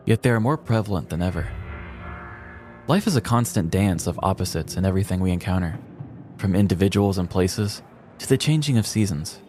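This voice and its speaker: Male podcast co-host, fairly low voice, conversational storyteller. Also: darkish tone. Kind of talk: casual chat and scripted storytelling.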